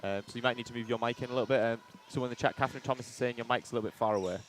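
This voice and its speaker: Man, loud voice, fast-talking colour commentator, headset, faint hall noise. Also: mid-range voice, fairly even intonation. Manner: quick, reads out viewer comments, agreeable interjections. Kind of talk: live sports commentary. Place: commentary box beside an indoor court.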